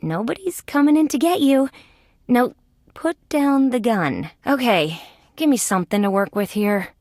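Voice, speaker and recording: A woman playing a young, wry, determined female insect police detective in a scripted adventure video game, with conversational, clearly spoken patter. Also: mid-range voice, lively intonation, moderate pace, no room sound, studio quality, lightly acted. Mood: anxious pleading.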